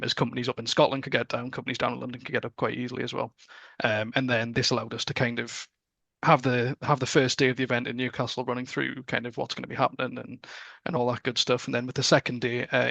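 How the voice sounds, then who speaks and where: A man's voice: mid-range voice, creaky, a bit bright, no room sound. A man with a Geordie accent chatting relaxedly as he answers a question about an event on a video call. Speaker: event organiser.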